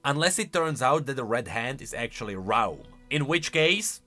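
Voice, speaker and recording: A man giving casual, opinionated reaction commentary as a gaming lore commentator, in an animated manner. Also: medium pitch, moderate pace, soft background music.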